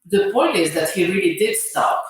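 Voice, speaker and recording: A middle-aged woman speaks with a Bosnian accent in a strongly reverberant room, some way from the microphone. She gives an informal motivational talk in a medium-pitched voice. Her phrasing is halting and deliberate, with words repeated for emphasis. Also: animated. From Sarajevo, Bosnia. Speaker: motivational speaker and angel investor. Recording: very echoey room, distant microphone.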